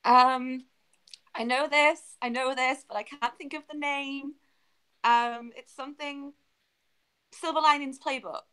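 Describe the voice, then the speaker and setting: A woman contestant talks casually in a quiz. Her high voice is bright and crisp. She answers hesitantly, taking her time over each phrase. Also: fairly even intonation, unhurried, long pauses.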